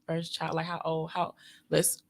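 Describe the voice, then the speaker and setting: A man's voice: a little high, monotone, unhurried, gravelly, slight background noise. A young man hosting a casual podcast chat, steering the talk with questions. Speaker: podcast host.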